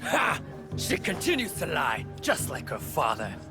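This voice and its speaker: A man playing a rough, hot-tempered thug in a video game, loud, blustering and insulting. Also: fairly high pitch, wildly swooping pitch, unhurried. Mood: angry, accusing. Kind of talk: dramatic game voice acting. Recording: loud music behind.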